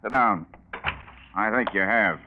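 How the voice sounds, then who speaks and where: An actor playing a wry, sarcastic American police lieutenant in 1940s radio style. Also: male voice, lowish voice, slow pace, noisy background. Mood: wry, probing. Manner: dry, sarcastic, probing. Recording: tinny 1940s radio recording.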